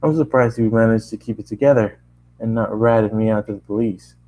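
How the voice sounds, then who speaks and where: A man's voice: on the low side, fairly even intonation, brisk, warm tone, poor audio. A man narrating a first-person fan fiction story aloud, often stumbling and repeating phrases as he reads.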